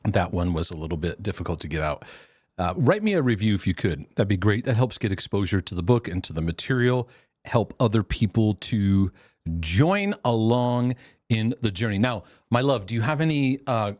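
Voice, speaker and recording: A man's voice: on the low side, animated, normal speed, a little mellow, no room sound, clean studio sound. A middle-aged man hosting a live question-and-answer stream, congested and sneezing, talking in a rambling, self-interrupting way with laughs. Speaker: host.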